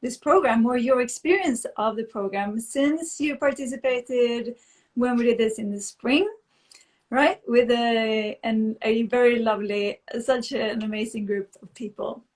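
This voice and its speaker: A woman's voice: a little high, measured pace, darkish tone. Upbeat woman hosting a yoga live stream. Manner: upbeat, laughs often, many fillers and restarts. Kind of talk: casual live-stream chat.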